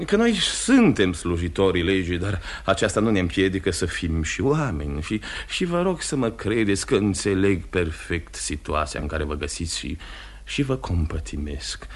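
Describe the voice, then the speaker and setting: Low-voiced, swooping courteous investigating magistrate. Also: male voice, big pitch swings. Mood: insinuating, persuasive. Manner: formal, courteous, halting repetitions. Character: smooth, insistent male investigating magistrate, courteous yet pressing. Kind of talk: mid-century radio theatre acting.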